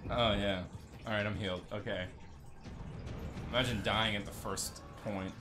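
A man giving casual, sarcastic and excitable gameplay commentary as the host of a gaming video. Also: normal speed. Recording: noisy low-level game recording.

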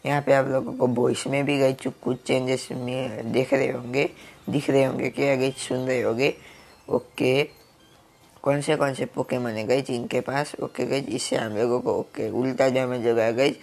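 Young man, Hindi accent, hoarse from a cold, gravelly, monotone, says "guys" constantly. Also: medium pitch, moderate pace. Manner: filler-heavy, keeps saying "guys". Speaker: gaming YouTuber. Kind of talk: casual game commentary.

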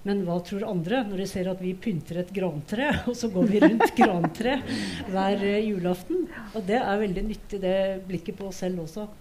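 A woman's voice: mid-range voice, few pauses, darkish tone. A middle-aged woman with a Norwegian accent giving conversational comments on a theatre stage. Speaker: panel speaker.

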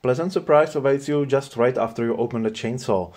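A man's voice: mid-range voice, brisk, darkish tone. A man narrating a scripted product review in a matter-of-fact way.

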